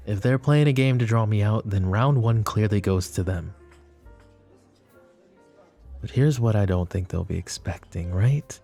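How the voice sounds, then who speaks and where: A possessive, flirtatious mafia boss, acted by a man in an intimate ASMR roleplay. His voice is low and very mellow, with a heavy gravelly fry. He is smooth-talking and protective, with a controlled menace under the teasing. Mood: simmering, calculating.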